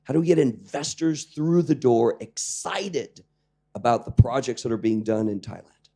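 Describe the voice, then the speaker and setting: Croaky man lecturing a class. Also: mid-range voice, moderate pace, dark tone. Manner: jokey, engaging the audience with questions. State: croaky voice, clearing throat. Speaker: lecturer on public-private partnerships. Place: lecture room with live audience.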